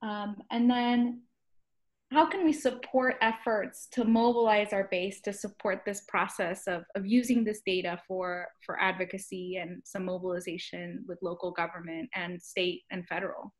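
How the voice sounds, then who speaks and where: A woman moderates a webinar over a video call. She reads out the audience's questions in a measured, even way, with soft ums between phrases. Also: medium pitch.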